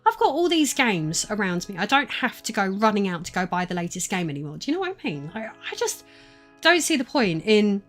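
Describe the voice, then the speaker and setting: A woman's voice: mid-range voice, big pitch swings, a little fast, a bit bright, soft background music. A woman in her thirties with an Estuary English accent, chatting casually to her live-stream audience from a room at home, rambling with lots of fillers and restarts. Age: 30s.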